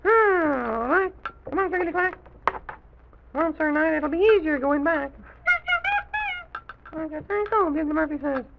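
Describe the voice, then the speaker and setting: A man voicing a small, excitable, curious childlike character in an old children's radio serial, exclaiming and repeating himself in wonder. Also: very high-pitched, measured pace, creaky, long pauses, heavy background noise, exaggerated voice. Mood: eager, bustling. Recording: dull vintage radio recording with music.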